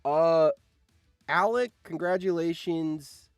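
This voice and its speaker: A man live-streaming as host, reading out game results with animated, excitable commentary and surprised exclamations. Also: on the high side, slow pace, smooth, no room sound, faint music behind.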